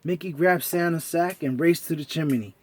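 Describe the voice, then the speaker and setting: A man narrating a children's story as an expressive storyteller. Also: a little high, normal speed, slight background noise.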